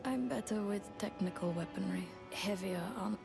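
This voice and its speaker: An actress playing a gentle, reserved female warrior in a fantasy video game, speaking softly and politely with some breathiness. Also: medium pitch, normal speed, vocal fry. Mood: soft, earnest. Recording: game music behind the voice.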